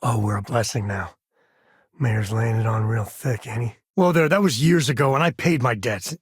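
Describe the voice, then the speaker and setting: A man's voice: mid-range voice, moderate pace, husky, no room sound, clean studio sound. A man voicing an easygoing, folksy space ranger and father in a video game, with a wry, casual drawl. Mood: playful.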